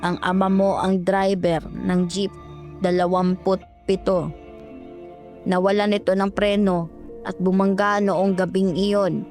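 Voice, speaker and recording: A woman's voice: mid-range voice, level tone, slow, darkish tone, natural voice. Woman voicing a solemn old ghostly grandmother, music behind. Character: grave old ghostly grandmother revealing dark truths.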